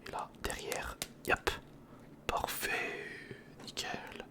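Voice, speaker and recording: A man whispering breathily in a casual ASMR commentary, murmuring step by step to the viewer as if to a friend. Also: extremely slow. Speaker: ASMR video creator, host. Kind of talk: ASMR, casual running commentary while building a model. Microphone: close recorder microphone.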